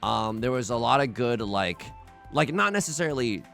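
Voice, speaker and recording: A young man giving excitable, joking commentary as he plays a tile game, often restarting mid-sentence. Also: medium pitch, creaky, faint music behind. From China, raised in the US. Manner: excitable, jokey, many quick restarts. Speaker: let's play host. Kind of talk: casual gameplay commentary.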